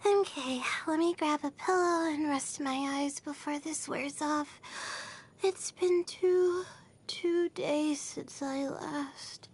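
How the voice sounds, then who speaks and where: A drowsy young student from an anime game, very high in pitch. She is anxious and hesitant, drifting slowly through her words as if short of sleep. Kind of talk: anime video game voice lines.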